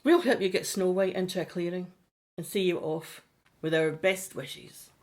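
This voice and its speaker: A woman playing a gentle, idealistic settler in an audio drama, explaining things earnestly. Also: fairly low pitch, normal speed, lightly acted. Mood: knowing, kindly.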